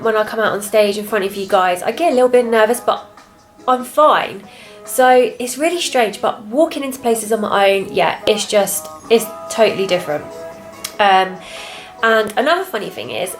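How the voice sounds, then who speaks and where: A young woman with a British accent and a soft, quiet voice, chatting candidly and a little reservedly as a fitness vlogger. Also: a little high. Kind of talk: casual confessional chat to camera.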